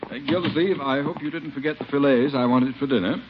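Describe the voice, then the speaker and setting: A man's voice: mid-range voice, brisk, close to an everyday voice. An American actor playing a pompous, demanding middle-aged rich man, haughty and clipped, in 1950s radio comedy. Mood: imperious. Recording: muffled, faintly noisy 1950s radio.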